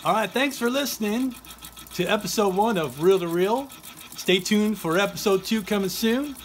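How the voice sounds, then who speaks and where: Higher-voiced man, noisy home recording. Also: animated, normal speed. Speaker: host, band musician. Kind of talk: casual talk to camera introducing old tapes. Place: small furnished home music room. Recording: noticeable background noise.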